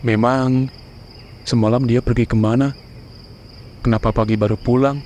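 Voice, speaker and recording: A man voicing a worried young husband in a horror cartoon, who speaks in a calm, flat, reassuring way. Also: mid-range voice, normal speed, a little hiss or hum, natural voice.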